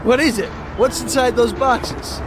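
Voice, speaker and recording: An actor playing a cautious, skeptical American mobster in a video game, blunt and sardonic. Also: male voice, very high-pitched, measured pace, background noise. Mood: curious. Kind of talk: video game cutscene acting.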